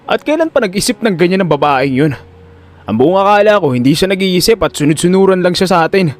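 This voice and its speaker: A man's voice: high-pitched, animated, fast talker. A man with a Filipino accent voicing an anxious, scheming young son in an audio drama. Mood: uneasy.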